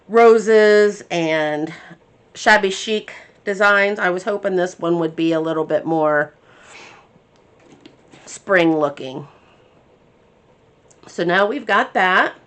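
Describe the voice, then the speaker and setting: A woman's voice: fairly low pitch, clear, resonant tone. A woman hosting a craft tutorial, chatting casually and thinking aloud as she works, a bit congested from her sinuses. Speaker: crafting channel host.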